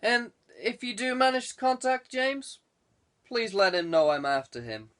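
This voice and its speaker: A man's voice: very high voice, sing-song, measured pace, fairly bright tone, voice pushed up, heavily acted. A man voicing a courteous, inquisitive male police detective in an audiobook. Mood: polite. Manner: polite, casually inquisitive questioning. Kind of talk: audiobook character dialogue.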